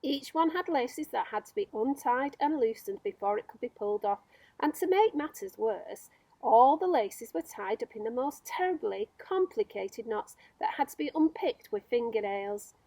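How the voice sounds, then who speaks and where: A woman reading a children's story aloud as a storyteller, with expressive narration. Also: fairly high pitch, normal speed, dry recording, rough recording.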